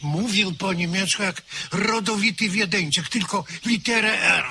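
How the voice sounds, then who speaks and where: A man's voice: high voice, a little slow, vocal fry, noisy background. An actor in a radio drama playing a theatrical man who retells a menacing commissar's taunts. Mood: excited, mocking.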